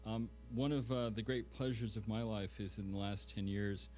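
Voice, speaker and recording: A man's voice: fairly low pitch, fairly even intonation, moderate pace, a little mellow, faint music behind. A middle-aged man speaking and reciting as a guest reader at a live poetry event.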